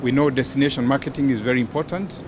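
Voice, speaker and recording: A man with a Kenyan accent, a port official, giving a measured press statement outdoors on a pier with many fillers. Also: mid-range voice, fairly even intonation, noisy background. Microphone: bunch of handheld press mics.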